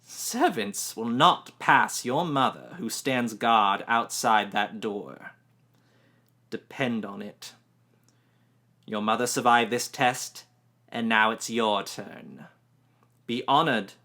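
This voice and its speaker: Man voicing ancient stern old woman, slow menacing drawl, bright tense tone, storybook reading. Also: mid-range voice. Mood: commanding.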